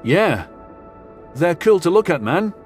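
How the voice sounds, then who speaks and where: A man's voice: sits high, sing-song, slow, faint music behind, voice pushed up, heavily acted. A man doing a laid-back, lilting soldier guy. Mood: casual. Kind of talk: audio drama character dialogue.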